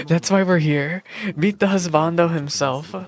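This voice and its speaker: A woman livestreaming a game playthrough, chatting casually with viewers in a gushing, expressive way. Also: deep voice, takes it slowly. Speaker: streamer reacting to a game playthrough. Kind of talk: casual livestream chat and commentary. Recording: game music behind the voice. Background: game music.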